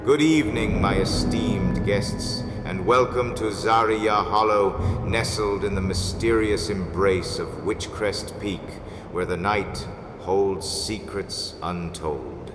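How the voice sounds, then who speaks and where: Man playing a deep-voiced, courtly gothic mansion host. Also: slow pace, few pauses, over music. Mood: mysterious, inviting. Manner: formal, ornate, dramatic. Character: courtly, mysterious male host of a haunted mansion. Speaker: host and storyteller. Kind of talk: theatrical gothic storytelling, scripted. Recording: echoey hall reverb with background noise.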